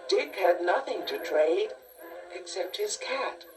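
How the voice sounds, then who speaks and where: A woman reading a scripted children's story in a measured, expressive storytelling manner. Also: mid-range voice. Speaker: storybook narrator. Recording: distant-sounding cassette tape transfer. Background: music.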